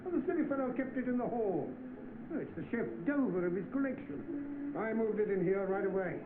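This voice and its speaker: A smug swindler in a 1930s film. His voice is high and very animated, suave but commanding and faintly menacing. It comes through a tinny old soundtrack. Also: a little fast, noisy background. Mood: smug, boastful. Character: smug, scheming male swindler, bossy and menacing.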